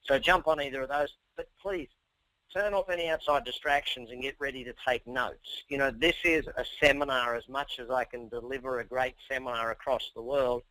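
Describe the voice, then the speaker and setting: A man in his forties with an Australian accent, giving a business coaching webinar in an energetic, persuasive way and talking straight to his listeners. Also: mid-range voice, a little fast, no echo, poor audio. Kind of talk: webinar presentation. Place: office or home.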